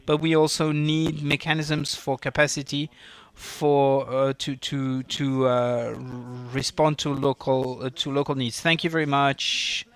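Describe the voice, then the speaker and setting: A man making formal, spontaneous remarks at a conference, halting and repeating words. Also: mid-range voice, a bit bright, clean tone. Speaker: conference participant.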